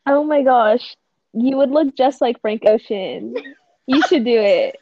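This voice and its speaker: A young woman teasing the host on a casual podcast. Her voice is high and swings widely, she speaks slowly, and the dry recording is a bit dull. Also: lively intonation, mellow, no room sound. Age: young adult. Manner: teasing, laughing. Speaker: podcast guest. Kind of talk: casual chat.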